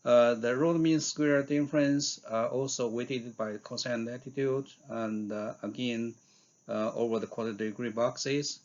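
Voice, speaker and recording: A man giving a scientific conference talk in a halting way, with frequent hesitations and fillers. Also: mid-range voice, steady tone, a little mellow, lo-fi sound. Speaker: researcher giving invited talk.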